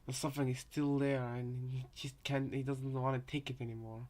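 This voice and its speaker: A man's voice: medium pitch, level tone, fairly quick, darkish tone. A man chatting casually as a co-commentator, tentative and hesitant as he works out his thoughts. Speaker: co-commentator reacting to voice lines. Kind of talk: casual chat.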